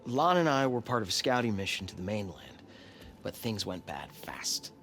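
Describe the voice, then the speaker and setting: A man's voice: on the low side, big pitch swings, normal speed, a bit bright, slight background noise. A man playing an anxious, regretful follower of a cult in a video game, hesitant and subdued. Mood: somber, regretful. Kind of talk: naturalistic video game cutscene acting.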